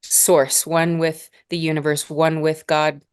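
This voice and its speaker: Guest role: woman in her forties from Northern Alberta, low voice, calm unhurried spiritual talk. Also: clean tone. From Northern Alberta, Canada. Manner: slow, measured, spiritual phrasing with pauses. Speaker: podcast guest, spiritual mentor. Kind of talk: reflective interview conversation.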